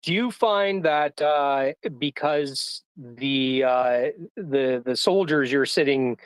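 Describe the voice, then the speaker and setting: A man's voice: mid-range voice, dry recording, poor audio. A man hosting a live audio chat who asks his guests long, hesitant questions while searching for words.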